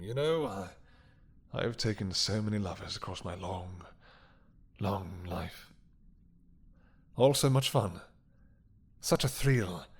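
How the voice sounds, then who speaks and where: A man playing an ancient, imprisoned mad dragon king in an intimate audio roleplay, seductive and menacing, with a husky, breathy voice and a teasing, hesitant drawl. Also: fairly low pitch. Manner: slow, teasing, hesitant drawl with murmured asides.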